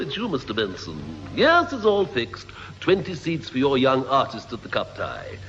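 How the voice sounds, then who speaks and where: Fussy official, wildly swooping voice. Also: male voice, on the high side, fairly bright tone, heavily acted. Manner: brusque, then gushingly hearty. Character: brusque, fussy official, effusively polite on the telephone. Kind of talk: 1960s radio sitcom acting. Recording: reverberant, muffled, hissy 1960s radio recording.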